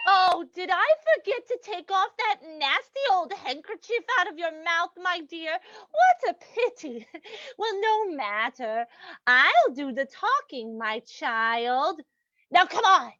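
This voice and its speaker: A woman's voice: extremely high pitch, very animated, takes it slowly, sharp tone, no echo, lo-fi sound, exaggerated voice. A woman playing a gloating, sweetly cruel lady murderess in an old-time radio mystery, cooing mock sympathy at her victim. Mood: mock-sweet menace.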